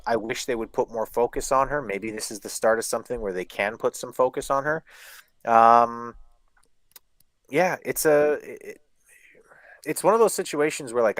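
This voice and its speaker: A man's voice: on the low side, dry recording. A man co-hosting a live podcast, talking emphatically and at length, with casual swearing and lots of fillers. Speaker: podcast co-host.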